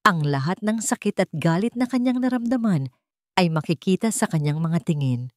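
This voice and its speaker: A woman narrating a dramatic scripted story in slow, deliberate phrases with pauses. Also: fairly low pitch, expressive, a little mellow, dry recording, clean studio sound.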